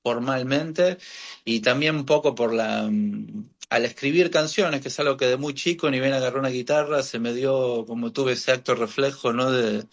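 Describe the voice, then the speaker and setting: A man's voice: medium pitch, moderate pace. Argentine man, hesitant and rambling. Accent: Argentine (Buenos Aires). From Buenos Aires, Argentina. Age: late 30s. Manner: hesitant, rambling, trailing repetitions. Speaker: musician guest, guitarist and singer-songwriter. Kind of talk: casual radio interview.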